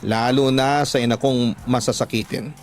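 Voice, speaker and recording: A man's voice: mid-range voice, steady tone, moderate pace, soft background music. A man narrating a scripted first-person story as a radio drama narrator.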